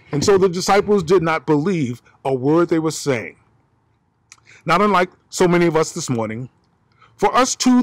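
A man in his fifties preaching a sermon outdoors as pastor, with dramatic emphasis and slow stresses, filmed on a camera held at arm's length. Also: a little high, no echo. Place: outdoors by pine trees. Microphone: phone or camera at arm's length.

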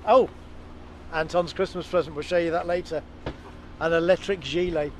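A man's voice: on the high side, normal speed, a little hiss or hum. A middle-aged man hosting his sailing vlog, chatting to the camera and calling tacking commands in a boat cockpit. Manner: chatty, gives calm helm commands. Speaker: boat owner and channel host. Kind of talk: casual vlog narration and sailing instruction. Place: open sailboat cockpit on the water. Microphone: action camera on the boat.

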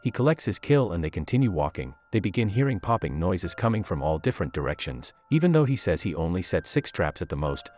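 Deep-voiced man narrating a movie recap. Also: sing-song, fast talker, few pauses, a little mellow, a little hiss or hum. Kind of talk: scripted narration.

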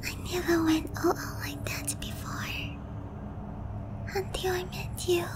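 A woman playing an obsessive young vampire princess in a slow, cooing, breathy half-whisper for an ASMR roleplay, sweetly menacing and possessive. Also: very high-pitched, level tone. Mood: obsessive, sinister. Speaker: roleplay voice actress.

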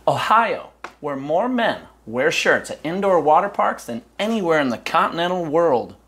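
A man in his thirties anchoring a mock news broadcast with deadpan newsreader delivery. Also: a little high, moderate pace. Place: small studio set.

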